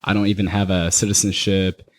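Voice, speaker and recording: A man's voice: low voice, little pitch movement, fairly quick. A young man from Iraq, talking candidly and rambling a little as a podcast guest. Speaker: guest, party promoter. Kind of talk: casual podcast chat.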